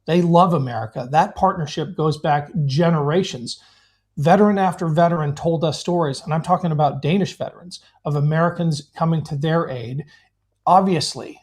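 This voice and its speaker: Male veteran guest, emphatic and earnest, sits a bit high in pitch. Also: darkish tone. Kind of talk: live remote interview.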